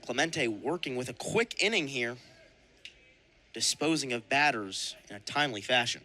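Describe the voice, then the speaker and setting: A young man calling baseball play-by-play for radio in crisp announcer phrasing with punched-up calls. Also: mid-range voice, animated, moderate pace, pushed and bright, a little hiss or hum. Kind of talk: live radio sports commentary.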